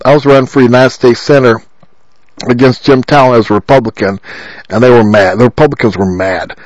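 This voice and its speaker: Loud rambling man, dry sound. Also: medium pitch, fairly even intonation, a little fast, dry recording, muffled recording. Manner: rambling, repetitive, with many fillers. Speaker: political candidate. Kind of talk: rambling monologue.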